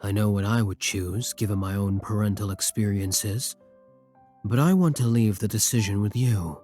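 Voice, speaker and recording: A man playing a brooding, tender vampire king in intimate ASMR roleplay, husky and courtly, with formal endearments and hesitant pauses. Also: on the low side, lightly acted. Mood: calm, caring. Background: soft music.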